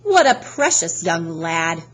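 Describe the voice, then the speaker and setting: A woman voicing a smug, elegant, menacing male guard of the underworld with a smooth, condescending British delivery. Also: on the low side, very animated, takes it slowly, heavily acted. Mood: smooth condescension.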